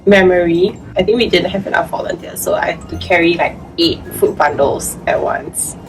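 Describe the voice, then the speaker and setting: A woman's voice: lowish voice, moderate pace. A woman who works at a food bank, reminiscing casually in an interview. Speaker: food bank staff member. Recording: slightly distant mic under background music. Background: background music.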